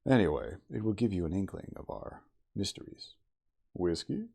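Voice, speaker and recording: A man voicing a gruff, evasive, hard-drinking storybook character who speaks in a brusque, deliberate, offhand way. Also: low voice, expressive, mellow, pitched above the performer's own voice, exaggerated voice. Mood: gruff, rueful.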